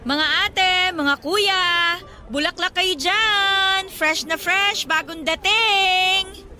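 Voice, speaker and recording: High, bright young flower vendor. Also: female voice, very slow, soft background music, full character voice. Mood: calling out cheerfully. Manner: chatty with friends, polite to customers. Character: young female flower vendor, earnest, loyal, hardworking. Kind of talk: Filipino radio-style dramatized love story.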